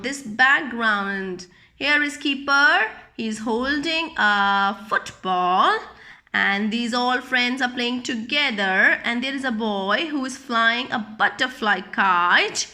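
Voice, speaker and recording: A woman's voice: on the high side, takes it slowly, bright, forceful tone. A woman reading a picture book aloud to young children, explaining it simply with questions and repetition. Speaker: children's storyteller.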